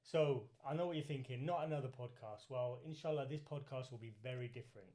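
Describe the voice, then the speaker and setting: A man's voice: mid-range voice, quick pace, warm tone, a little hiss or hum. A man with a southern English accent, talking casually as a podcast host and interviewer. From UK, grew up in Reading.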